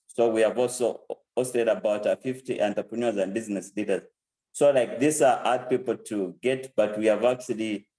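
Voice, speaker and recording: A man's voice: mid-range voice, dark tone, poor audio. A man in his twenties with a Zambian accent, giving an informal testimonial as a program alumnus. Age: 20s. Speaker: program alumnus and country director.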